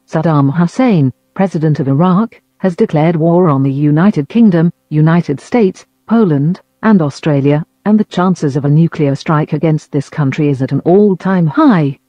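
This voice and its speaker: Male announcer, measured and official, scripted emergency bulletin. Fairly high but dark tone, very dry recording. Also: dry recording. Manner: formal, measured. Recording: dull lo-fi audio.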